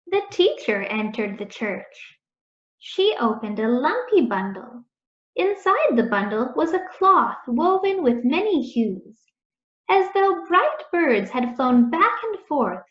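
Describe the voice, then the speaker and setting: A woman reading a children's story aloud in a deliberate, expressive storytelling manner. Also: sits high. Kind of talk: read-aloud storytelling, scripted narration. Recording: echoey room, dull muffled sound.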